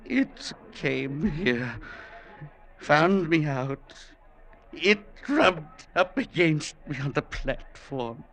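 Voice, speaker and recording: Terrified old professor, theatrical old radio acting, higher-pitched, tense sharp tone, overwrought. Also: male voice, lively intonation, very slow, full character voice. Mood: weary dread. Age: older. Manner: overwrought, theatrical, swinging from murmurs to cries. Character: broken, terror-stricken old professor haunted by an unseen presence. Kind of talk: 1940s-50s radio drama acting.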